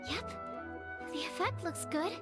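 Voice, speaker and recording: Young polite alchemist girl in a game, real high voice, careful earnest, bg music. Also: measured pace. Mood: encouraging. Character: young, polite, studious woman alchemist, gently encouraging. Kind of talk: video game character acting.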